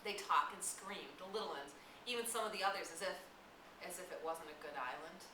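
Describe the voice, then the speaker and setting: A woman's voice: on the low side, a little fast, lightly acted. A woman reading the part of a practical, serious boy leader in a storybook, earnest and reproachful. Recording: roomy, distant mic, clear hiss.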